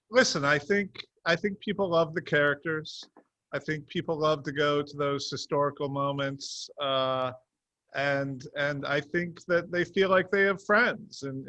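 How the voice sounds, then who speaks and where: A man's voice: medium pitch, bright, forceful tone, no echo, dull audio. A man answering interview questions casually as a show's co-creator, rambling and hesitant, with self-deprecating asides. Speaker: TV series co-creator, interview guest.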